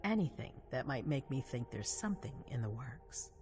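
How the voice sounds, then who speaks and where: A regal, commanding vampire woman, measured and calculating. Her low voice moves through a wide range, with faint music behind. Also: sing-song, darkish tone. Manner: measured, authoritative. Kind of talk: dramatic video game cutscene acting.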